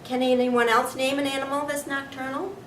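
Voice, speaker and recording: A stern storybook schoolteacher, acted out by a woman, gray-haired and unsmiling, with a brisk, correcting way of asking questions. The sound is roomy and distant, with noticeable noise. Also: high-pitched, level tone, a bit bright, voice pushed up. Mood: firm. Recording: echoey room, distant mic, background noise.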